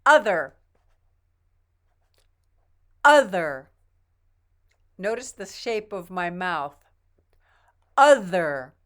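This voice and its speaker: A woman teaching English pronunciation, patiently modeling each word twice in a slow drill and encouraging the learner. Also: lowish voice, big pitch swings, fairly bright tone.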